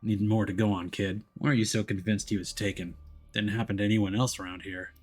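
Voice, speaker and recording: A man voicing a gruff, world-weary, protective detective in a video game story, speaking in a dry, terse, understated way. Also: fairly low pitch, level tone, fairly quick, lightly acted. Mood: probing. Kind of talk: visual novel character voicing.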